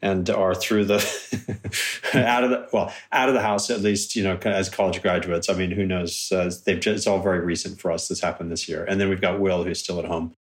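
Halting man with a mixed American-British accent. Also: low voice, fairly even intonation. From California. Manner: halting, many restarts and laughs. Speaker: guest. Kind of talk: casual conversation.

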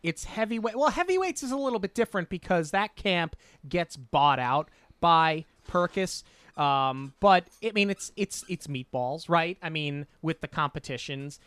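A man's voice: a little high, expressive, moderate pace, a bit bright, smooth, dry recording. Opinionated man rambling in dry audio. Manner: rambling, self-correcting, opinionated. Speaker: podcast co-host. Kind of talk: casual movie review chat.

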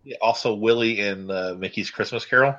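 A man co-hosting a casual chat about old cartoons, jokey and quick to break into silly sung bits. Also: fairly low pitch, a bit bright, highs cut off. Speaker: podcast co-host.

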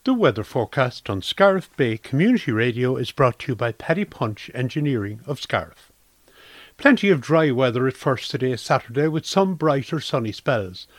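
A man's voice: mid-range voice, sing-song, normal speed, no echo. A man with an Irish accent reading a scripted weather forecast for community radio.

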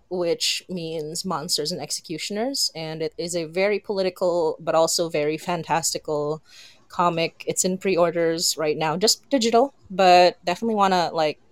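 A woman's voice: on the low side, steady tone, normal speed, no echo. A woman chatting casually on a panel as a creator, giggly and enthusiastic. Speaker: podcast and comic creator, panelist.

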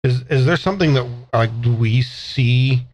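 A man with a mid-pitched voice plays a tabletop game. He describes his character casually, tentatively restarting his phrases as he goes. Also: normal speed, a little mellow, dull audio.

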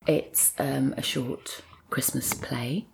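A woman with an extremely low, creaky voice talks casually as a guest playwright. She is thoughtful and halting, with a playful streak. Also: fairly even intonation, a little mellow, in a whisper, low-quality recording. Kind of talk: casual chat.

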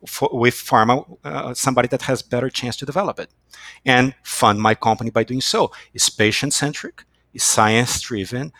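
A man with a Brazilian accent, a company chief executive answering as a podcast guest in a halting, emphatic, repeating way. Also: medium pitch, a bit bright, no room sound. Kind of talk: unscripted podcast interview.

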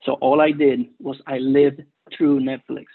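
A man's voice: medium pitch, little pitch movement, husky. Hesitant Cuban man on a tinny line. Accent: Cuban Spanish. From Cuba. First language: Spanish. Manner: hesitant, earnest, many pauses. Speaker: recovery meeting speaker. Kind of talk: personal testimony. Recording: tinny phone-line audio.

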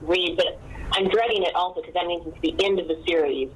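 A woman's voice: fairly low pitch, brisk, husky, low-quality recording. A woman from a book club chatting casually and rambling in an easygoing way. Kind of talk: casual chat.

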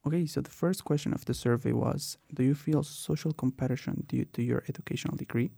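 Creaky-voiced young man hosting a podcast. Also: mid-range voice, fast, mellow, dry recording. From Colombia. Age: 20s. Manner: many "uh" and "um" fillers while telling his own story. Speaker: podcast host. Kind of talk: casual podcast talk.

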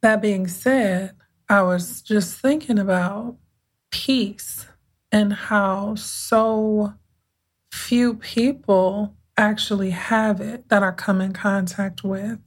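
A woman hosting her own podcast as a mindset coach, talking in an unhurried, conversational way with a soothing voice and thoughtful pauses. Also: medium pitch, steady tone. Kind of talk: casual reflective podcast monologue.

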